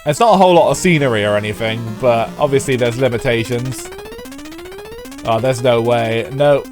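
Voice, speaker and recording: A man with a stuffy, congested voice talks casually through a live stream and rambles to his chat as he plays. His pitch sits at an ordinary middle height. Faint game music runs under his voice. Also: moderate pace. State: stuffy from a head cold. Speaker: streamer playing a retro racing game.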